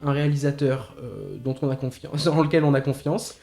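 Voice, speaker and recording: A man's voice: medium pitch, brisk, vocal fry, warm tone. A man discussing films casually, hesitating and repeating words as he thinks. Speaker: podcast co-host. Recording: slightly roomy podcast recording with music behind.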